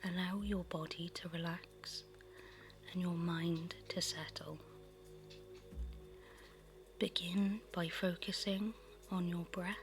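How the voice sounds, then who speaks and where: Woman, very slow pace, soft breathy half-whisper, soothing guided meditation narration, prominent music behind. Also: fairly low pitch, fairly even intonation.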